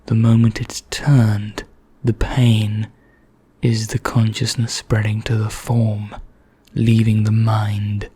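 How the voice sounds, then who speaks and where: Guy doing an asmr vampire, bored and courteous, dark soft voice, super slow and whispery. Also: on the low side, monotone. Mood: confiding, secretive. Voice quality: hushed, breathy, often whispering. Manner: slow, deliberate, genteel and conspiratorial. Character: bored, secretive immortal male vampire, darkly courteous. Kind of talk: ASMR roleplay.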